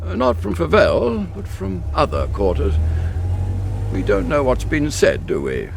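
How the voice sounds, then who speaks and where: An actor playing a courteous, middle-aged English colonel with a clipped, reassuring manner in a radio drama. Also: male voice, a little high, very animated, unhurried, vocal fry, a little hiss or hum, heavily acted. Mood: kindly, advising. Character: courteous, avuncular English colonel, discreet and worldly. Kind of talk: British radio drama acting.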